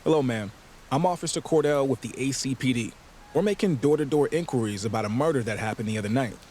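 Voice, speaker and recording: A man's voice: mid-range voice, darkish tone, slight background noise, close to an everyday voice. Man playing an earnest, procedural patrol cop. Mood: polite inquiry. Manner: measured, procedural. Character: earnest, polite young male patrol cop. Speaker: uniformed police officer. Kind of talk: video game cutscene dialogue.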